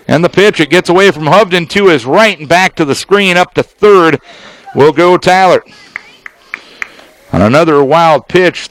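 Higher-voiced man calling baseball on radio. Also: fairly bright tone, no echo, rough recording. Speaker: baseball radio play-by-play announcer. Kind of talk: live sports play-by-play commentary.

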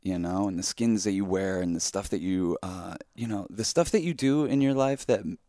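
A man's voice: deep voice, moderate pace, dark tone, no echo, clean studio sound. A young man from New York chatting casually with friends, loose and profane with lots of fillers. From New York, USA. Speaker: podcast co-host. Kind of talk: casual chat among friends.

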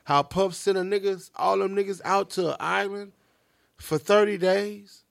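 A man's voice: sits high, fairly even intonation, measured pace, gravelly, no room sound, clean studio sound. A man in his fifties from Chicago's South Side, co-hosting a podcast in casual chat and repeating his points emphatically. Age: 50s. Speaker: podcast co-host.

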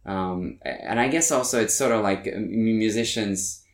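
Guy musician rambling in an interview, lots of restarts, kinda low voice. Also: normal speed. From Norway. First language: Norwegian. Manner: rambling, frequent restarts and self-corrections. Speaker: musician guest. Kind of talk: casual interview chat.

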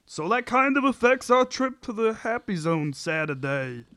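A man's voice: high-pitched, very animated, normal speed, pushed and bright, no echo, full character voice. A man playing a whiny, placating husband and teammate in a comic sitcom table read, wry and pleading. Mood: sheepish.

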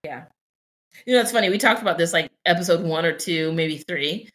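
A young woman co-hosting a chatty podcast, speaking in a bright, forward tone that sits slightly low. She talks candidly and thoughtfully, circling her points with fillers and restarts. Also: a little fast. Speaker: podcast co-host. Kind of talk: casual conversational podcast.

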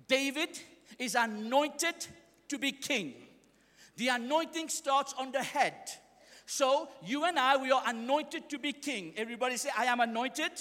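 A young man, not a native English speaker, delivers a fiery sermon with a very high, forceful, bright voice. He leads call-and-response chants and often breaks into shouts. The sound rings with long reverb in a big church hall. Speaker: preacher.

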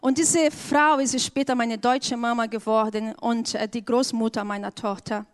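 A woman's voice: on the high side, steady tone, clear, resonant tone. A woman from Brazil with a Brazilian accent, not speaking her first language, giving an earnest personal testimony in church. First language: Portuguese. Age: adult with an eleven-year-old daughter. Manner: slow, earnest, careful phrasing.

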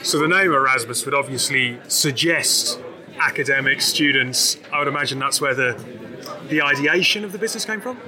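Chatty male interviewer, noisy crowd behind. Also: medium pitch. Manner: chatty, quick follow-up questions. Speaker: interview host. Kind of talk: casual interview.

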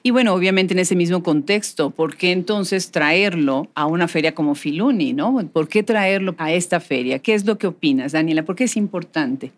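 Effusive woman podcast host, lower voice. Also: moderate pace, few pauses. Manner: effusive, welcoming. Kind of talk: conversational live interview. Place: book fair in Mexico City.

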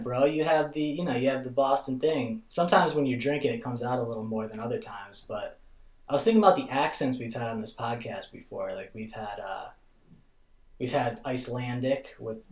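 A man hosting a podcast, talking in a casual, slangy, laid-back way. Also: mid-range voice. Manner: laid-back, slangy, calls people bro. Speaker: podcast host. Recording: roomy echo.

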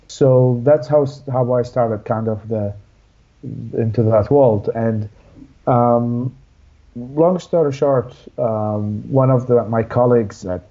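Halting young man with a dark voice. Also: fairly low pitch, highs cut off. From Iraq. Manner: halting, many fillers and restarts. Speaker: guest. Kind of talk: interview, personal story.

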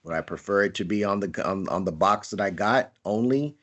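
A man co-hosting a podcast, talking things through in a measured, slightly sarcastic way. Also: lowish voice, fairly bright tone. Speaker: podcast co-host. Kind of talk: casual chat.

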